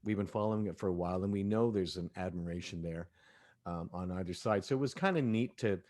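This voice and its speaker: A man speaking as an audio drama director in a casual cast discussion, telling things in an animated way. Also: deep voice, fast.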